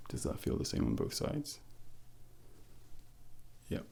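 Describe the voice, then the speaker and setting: A man speaking softly and breathily, often close to a whisper, in an ASMR doctor role-play, giving gentle, reassuring, unhurried instructions. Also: a little hiss or hum. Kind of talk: soft-spoken ASMR medical exam role-play.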